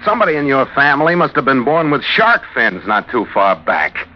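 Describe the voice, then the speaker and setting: An actor playing a tough, wary ship's skipper in an old-time radio drama, clipped, cynical and wisecracking. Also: male voice, medium pitch, very animated, brisk, lightly acted. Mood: hard, suspicious. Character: tough, wary ship's skipper narrating his own adventure. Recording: muffled vintage radio recording with music behind.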